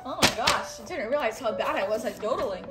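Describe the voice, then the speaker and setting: A woman's voice: fairly high pitch, quick pace, over loud music. A woman hosting a children's read-along, reading a storybook aloud in a teacherly way and inviting listeners to repeat words.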